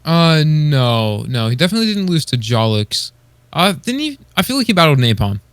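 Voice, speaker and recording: A man's voice: a little high, sing-song, normal speed, clear, resonant tone, no room sound. A man co-hosting a podcast, chatting casually as a hobbyist with a somewhat tentative manner. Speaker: podcast co-host. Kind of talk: casual chat, hobbyist commentary.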